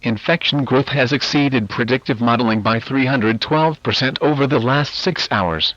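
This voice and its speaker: A man's voice: medium pitch, normal speed, fairly bright tone, no echo, lightly acted. A man plays a stern government emergency announcer in an analog horror broadcast. His delivery is formal and authoritative, with clipped military phrasing. The sound is dry and somewhat muffled, like a lo-fi transmission. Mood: grave, official. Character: stern official of a government biohazard agency issuing evacuation orders. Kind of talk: scripted emergency alert narration, analog horror.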